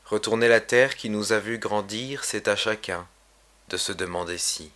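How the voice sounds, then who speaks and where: A man reading his own poem aloud in a solemn, measured, literary recitation. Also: fairly low pitch, a bit bright. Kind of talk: poetry recitation.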